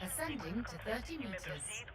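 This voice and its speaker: A woman's voice: medium pitch, big pitch swings, normal speed, very noisy, natural voice. A woman playing a dutiful starship crew officer in a game, giving formal, clipped military acknowledgements. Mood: dutiful, composed. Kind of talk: scripted game voice-pack acknowledgements. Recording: distant, loud music over voice.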